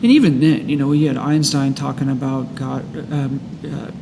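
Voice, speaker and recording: A man in a casual discussion of a book, reading passages and reflecting in a halting way. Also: little pitch movement. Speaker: host of a recovery book study. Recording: clear background noise with low rumble.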